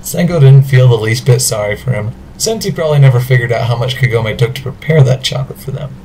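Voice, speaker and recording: A man's voice: medium pitch, expressive, quick pace, dark tone, slight background noise, low-quality recording. A man reading scripted narration for an amateur audio drama.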